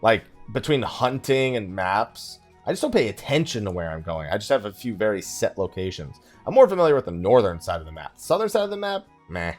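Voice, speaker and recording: A man giving casual let's play commentary, rambling and thinking out loud with mild exasperation. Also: lowish voice, big pitch swings, a little fast, few pauses. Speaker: gaming video host. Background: game music.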